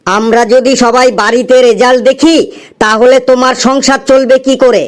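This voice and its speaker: Clear, high-pitched cheeky cartoon student voiced by an actor. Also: fairly even intonation, moderate pace, lightly acted. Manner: argumentative, back-talking. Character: cheeky, impatient young students waiting for exam results. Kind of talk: comedy cartoon dialogue.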